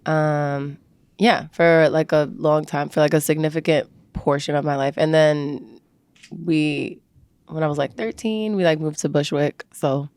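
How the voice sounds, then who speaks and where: Relaxed young New woman, chatty. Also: sits low, measured pace, smooth, dry recording. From Dominican Republic. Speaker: singer, podcast guest. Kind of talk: casual interview chat.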